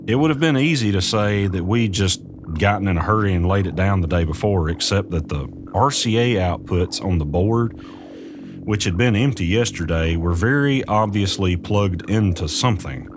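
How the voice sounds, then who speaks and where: An actor playing an uneasy man who recounts eerie events in measured, suspenseful first-person audio drama narration. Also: low-pitched, background noise, close to an everyday voice. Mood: tense recounting. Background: music.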